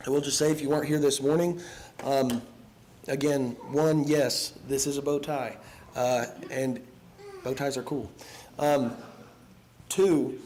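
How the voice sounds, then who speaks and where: A hoarse young man giving an evening sermon in a church, earnest and halting, with many ums and restarts. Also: mid-range voice, fairly even intonation, darkish tone, slight background noise. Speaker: preacher. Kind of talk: evening sermon with casual asides. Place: church sanctuary.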